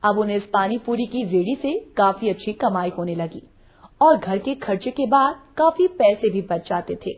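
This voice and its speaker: A woman's voice: mid-range voice, animated, moderate pace. A woman reading a scripted moral story as its narrator.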